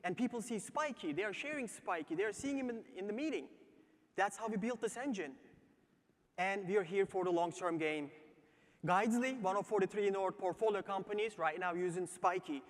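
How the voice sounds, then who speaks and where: A man from Turkey with a Turkish accent, delivering an emphatic startup pitch on a theatre stage. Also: fairly quick. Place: large theatre stage before live audience. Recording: long reverberant theatre hall.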